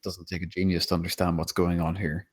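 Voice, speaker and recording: A man with a very low voice narrates a screenplay. He reads out scene descriptions and stage directions as scripted narration. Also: little pitch movement, brisk. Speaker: narrator of screenplay table read.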